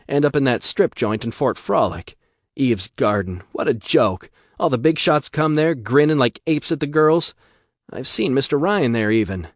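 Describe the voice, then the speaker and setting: Man putting on a worn-out streetwise young gal, slangy, higher voice, dry sound. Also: no echo. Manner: slangy, tearful, raised and lighter. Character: weary young woman from a rough background, streetwise.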